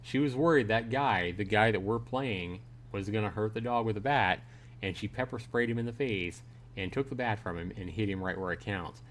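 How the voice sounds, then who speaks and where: Low-voiced man hosting a game livestream. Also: normal speed, a little hiss or hum. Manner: conversational, chatting with viewers. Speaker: livestream host. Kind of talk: casual game commentary and read-aloud narration.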